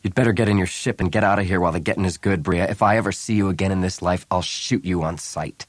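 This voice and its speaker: A man's voice: low-pitched, fast talker, no room sound. A man voicing a cynical, wounded smuggler pilot in an audiobook, terse, bitter and hard-bitten. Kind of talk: audiobook character acting.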